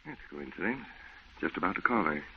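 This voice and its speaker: A man's voice: a bass voice, sing-song, heavily acted. An actor playing a genial, breezy office man and loyal friend in a 1940s radio drama. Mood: friendly. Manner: brisk, friendly, conversational. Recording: muffled, hissy 1940s radio recording.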